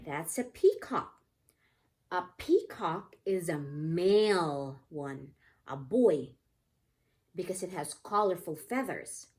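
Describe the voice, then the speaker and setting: A woman's voice: lowish voice, lively intonation, slow, a little mellow. A woman teacher leading an interactive picture-book lesson for young children, explaining things simply and often asking the children questions.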